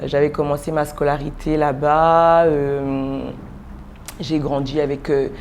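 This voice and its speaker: Low-voiced, hesitant woman pastor from Congo. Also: little pitch movement, measured pace, slight background noise. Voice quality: low, soft voice with frequent fillers and restarts. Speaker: pastor, guest. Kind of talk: hesitant interview storytelling.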